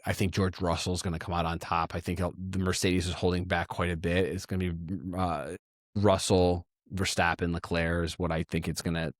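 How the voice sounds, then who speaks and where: A man whose first language is Italian, talking in a casual chat, animated and restarting his sentences as he thinks aloud. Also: deep voice, moderate pace, no echo, clean studio sound.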